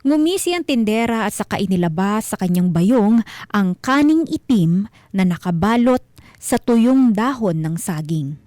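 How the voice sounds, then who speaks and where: A Filipino woman narrates eerie tales as a radio storyteller. Her voice is mellow and very dry in a close studio sound, and she takes her time with deliberate, dramatic phrasing. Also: medium pitch, lively intonation, dry recording. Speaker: host and narrator of a radio mystery show. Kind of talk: scripted horror storytelling.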